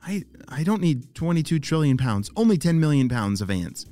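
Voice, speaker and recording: Man voicing an eager young girl spy, lifted pitch. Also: very animated, normal speed, dark tone, no echo, soft background music. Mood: thoughtful, eager. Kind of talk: children's audio story character.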